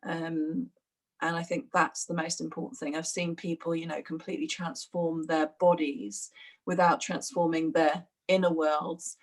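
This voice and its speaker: A woman's voice: deep voice, flat delivery, a little slow. A woman, a fitness instructor, chatting reflectively in an interview with many fillers and restarts. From United Kingdom. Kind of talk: casual interview chat.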